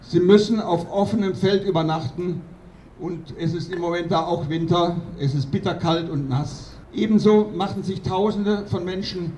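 A man delivering a political speech at a protest rally in an emphatic, accusatory, declamatory way with deliberate phrasing. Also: high-pitched, steady tone, mellow, dull audio. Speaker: activist doctor speaking at a protest rally. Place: outdoor rally. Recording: distant outdoor mic with clear noise.

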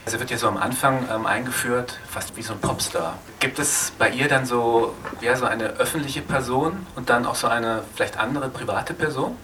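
A man's voice: medium pitch, moderate pace, hardly any pauses, pushed and bright. A man moderating a film Q&A, asking spontaneous questions in tentative, searching phrases. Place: film festival screening venue. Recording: distant, noisy live event recording.

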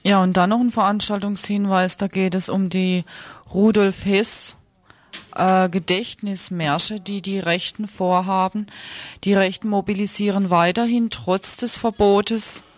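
A woman presents radio news, reading commentary and announcements. Her pitch is average for a woman, and she is recorded with almost no room sound. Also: steady tone, a little slow, dry recording.

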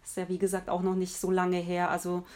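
A woman musician answering interview questions in a relaxed, thoughtful way, pausing often with fillers and restarting her sentences. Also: fairly low pitch. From Germany. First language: Polish.

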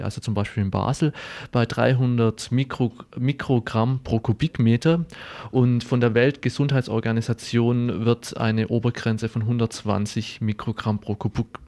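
A man co-presenting an informal local radio news programme, speaking in a halting, improvised manner. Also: mid-range voice, a little mellow.

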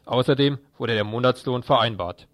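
A man's voice: medium pitch, moderate pace, no room sound, muffled recording. A man reading scripted news reports as a radio reporter.

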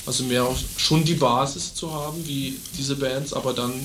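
A man's voice: mid-range voice, steady tone, normal speed, background noise. A man in his late teens, a band member, talking casually in an interview and searching for his words.

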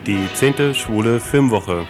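A man's voice: mid-range voice, slow. A man reading a scripted event announcement. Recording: loud plucked guitar music behind voice.

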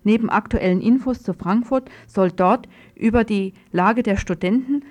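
A woman's voice: mid-range voice, normal speed, darkish tone. A woman radio presenter reading a scripted political news report.

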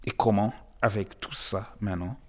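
A man from the DR Congo, a guest artist giving measured, reflective interview answers with frequent pauses and emphatic rises. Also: lowish voice, fairly even intonation. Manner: measured and thoughtful, pausing often, rising to emphatic stresses.

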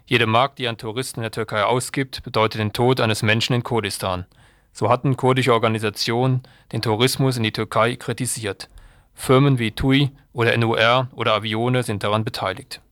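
A man's voice: medium pitch, fairly even intonation, a little fast, fairly bright tone, no room sound. Man reading radio narration, very dry sound. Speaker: radio presenter.

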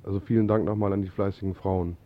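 A man's voice: sits low, level tone, quick pace, slight background noise. A man presenting on the radio, making announcements and reading scripted narration in a studio. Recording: dull lo-fi 1980s radio recording.

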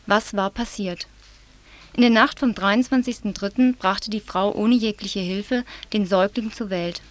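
A woman from southwest Germany presenting a local news report, reading in a measured, serious way. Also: mid-range voice.

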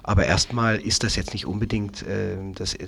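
A man speaking as a political guest in an unscripted discussion, haltingly searching for words and repeating phrases. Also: lowish voice, steady tone, creaky, fairly bright tone. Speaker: interview guest, Green local politician.

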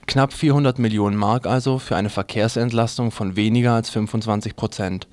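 Low-voiced man reading radio news. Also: darkish tone, no echo. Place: dry radio studio.

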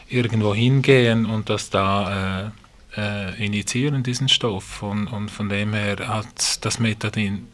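A man from Switzerland speaking in a panel discussion as a programme worker, halting and rephrasing as he goes. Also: fairly low pitch, fairly bright tone.

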